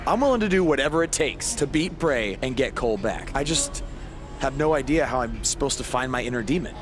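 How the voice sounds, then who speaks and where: Young wisecracking wrestling champ man, game cutscene, casual delivery with very animated mid-range voice. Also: mid-range voice, brisk, music in the background, lightly acted. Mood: earnest, doubtful. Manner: casual, conversational. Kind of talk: video game cutscene acting.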